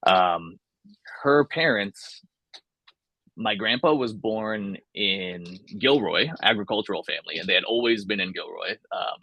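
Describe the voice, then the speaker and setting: A man's voice: lowish voice, unhurried, vocal fry, sharp tone, husky, no room sound, poor audio. A young man from California, talking casually and thoughtfully in an oral history interview, with many fillers and restarts.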